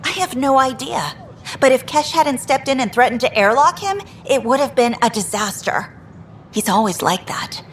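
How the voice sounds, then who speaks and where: A woman's voice: high-pitched, expressive, moderate pace, slight background noise. An actress playing a frustrated female station worker who vents with exasperation and dry humor. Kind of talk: video game dialogue.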